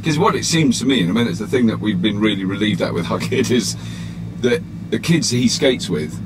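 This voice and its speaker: A man's voice: fairly low pitch, lively intonation, fairly quick. A middle-aged English man hosting a casual chat as he drives a guest around, polite and self-deprecating. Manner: chatty, polite, self-deprecating with light laughs. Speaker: host driving guest. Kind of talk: casual chat while driving. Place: inside a car. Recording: loud road and cabin noise. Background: car engine and traffic noise.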